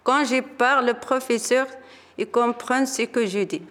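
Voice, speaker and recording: A woman's voice: on the high side, a little slow, fairly bright tone, in a large hall. A mother learning French, giving an earnest testimonial in simple sentences. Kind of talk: spoken testimonial.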